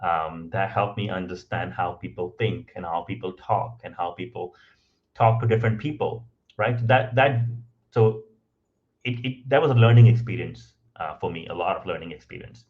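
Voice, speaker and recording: Low-voiced man, lo-fi podcast sound. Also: normal speed. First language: not English. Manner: frequent ums and restarts, tag questions of "right?". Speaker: podcast guest. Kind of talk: conversational storytelling.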